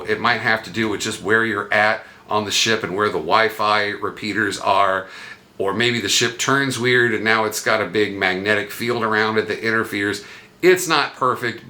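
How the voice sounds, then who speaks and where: Bright, forceful low-pitched man explaining casually. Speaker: vlog host giving cruise travel tips. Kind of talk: casual explainer talk to viewers.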